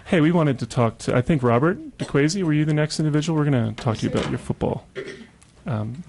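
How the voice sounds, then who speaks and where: A man's voice: fairly high pitch, lively intonation, fast talker, darkish tone, slight background noise. A man hosting a casual radio interview in a chatty, encouraging manner. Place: radio studio.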